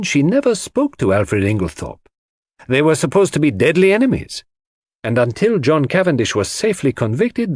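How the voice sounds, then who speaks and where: A man voicing a clever, confident male detective in an audiobook, explaining his deductions in a deliberate, theatrical way. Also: on the high side, darkish tone, no echo, studio quality, pitched above the performer's own voice. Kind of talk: audiobook character dialogue.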